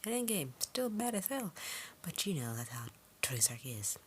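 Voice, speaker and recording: A woman's voice: lowish voice, sing-song, normal speed. A woman giving a casual, rambling film review in an informal, chatty way, often interrupting herself with tangents. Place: her room at home. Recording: clearly noisy home recording with clicks.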